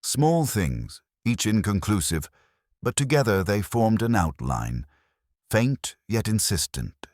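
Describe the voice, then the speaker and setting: A man reading a scripted mystery story as narrator, in a measured, deliberate literary manner. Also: fairly low pitch, sing-song, a little mellow, no room sound, studio quality.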